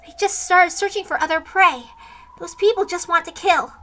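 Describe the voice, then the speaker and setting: Woman doing a soft, quiet, guarded young girl. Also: very high-pitched, moderate pace, a little hiss or hum, pitched above the performer's own voice. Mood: guarded, subdued. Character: guarded, shy young girl survivor, soft-spoken and bleak.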